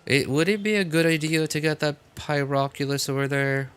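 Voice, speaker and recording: A man's voice: a little high, brisk, a bit bright. A man doing casual live gameplay commentary, thinking aloud in short informal remarks and chatting with his viewers. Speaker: game livestreamer. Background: game music.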